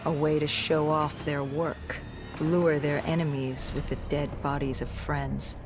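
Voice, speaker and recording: An actress playing a battle-hardened, haunted female soldier in a video game, narrating in a grave, terse, measured way. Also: deep voice, level tone, over music. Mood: grim, hardened. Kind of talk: dramatic scripted game narration.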